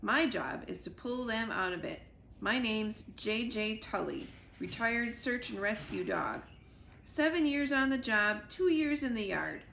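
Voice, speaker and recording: A woman's voice: a little high, animated, a little slow, creaky, fairly bright tone, echoey room, a little hiss or hum, full character voice. Woman reading as a dry, weary retired dog. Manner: dry, laid-back first-person narration.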